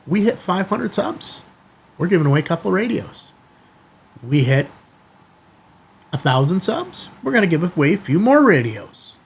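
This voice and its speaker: A man's voice: high-pitched, sing-song, moderate pace, vocal fry, long pauses, breathy edge. A man hosting a casual product review vlog, talking conversationally and emphatically in short bursts. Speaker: YouTube channel host.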